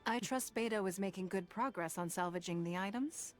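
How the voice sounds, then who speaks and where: A woman's voice: medium pitch, fairly quick, close to an everyday voice. An actress playing a poised, powerful noblewoman in a video game, formal and politely aloof. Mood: composed. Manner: composed, formal. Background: music.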